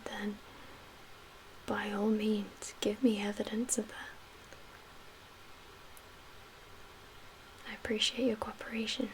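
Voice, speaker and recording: A woman playing a calm, guarded survivor leader in a soft-spoken ASMR roleplay, half-whispering in a measured, reassuring way with pauses. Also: medium pitch, lightly acted, rough recording. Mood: firm, hushed. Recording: audible background noise.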